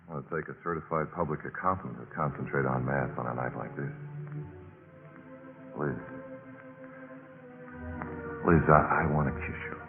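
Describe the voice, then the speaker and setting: A young college senior wooing in an old radio play. His very deep voice hesitates romantically. It sounds tinny and narrow, with music clearly playing behind. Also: steady tone, normal speed, long pauses. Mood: courting. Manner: hesitant, repeats words, courting. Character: smooth-talking, boyish male college senior, romantic. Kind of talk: 1950s radio drama acting.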